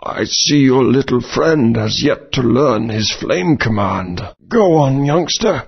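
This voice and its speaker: An actor playing an exhausted, hungry male creature who pleads wearily in a raspy voice. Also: mid-range voice, animated, slow, full character voice. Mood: weary. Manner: weary, pleading. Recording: dull lo-fi game audio.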